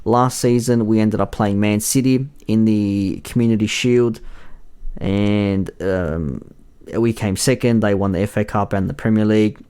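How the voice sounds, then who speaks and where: A young man hosts a solo football podcast in a somewhat low voice that has gone raspy and husky from a night of yelling. He rambles through his points, stopping often to correct himself. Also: fairly even intonation, unhurried. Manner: rambling, with many fillers and self-corrections. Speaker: podcast host. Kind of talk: solo football preview chat.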